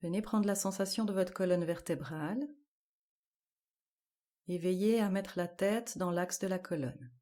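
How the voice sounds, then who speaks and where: A woman guiding a yoga nidra relaxation, giving calm, measured instructions in short, spaced-out phrases. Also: lowish voice. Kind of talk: guided relaxation meditation.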